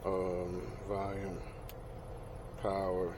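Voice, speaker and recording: Vehicle noise fills the cab around a man with a fairly low voice. He talks very slowly and casually, half to himself, as a trucker vlogging a hands-on job. His muttered phrasing is slangy and sweary. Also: completely flat delivery. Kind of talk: casual hands-on install vlog, talking to self.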